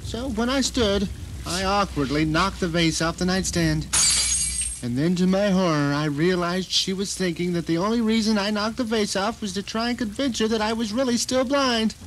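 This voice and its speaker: A man's voice: high-pitched, normal speed, natural voice. A man playing a self-satisfied novelist trapped at home, narrating his grim ordeal dramatically in an audio drama. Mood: smug, cunning. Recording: noisy 1990 radio drama recording.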